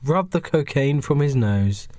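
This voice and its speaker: A man narrates improvised comedy as a podcast host. His mid-range voice has a deadpan storybook delivery. The recording is close and dry. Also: mid-range voice, a little slow, no echo.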